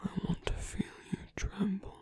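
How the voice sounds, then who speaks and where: A man voicing a seductive, possessive villain in an intimate ASMR roleplay, coaxing slowly in a breathy whisper. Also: mellow, close to an everyday voice.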